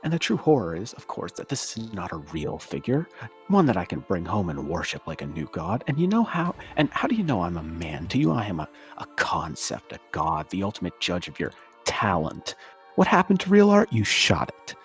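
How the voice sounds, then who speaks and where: Man acting a theatrically outraged, pompous internet commenter, swooping pitch. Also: huge pitch swings, normal speed, soft background music, exaggerated voice. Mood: scornful, grandiose. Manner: pompous, scornful, overwrought. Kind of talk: dramatic reading of an internet comment argument.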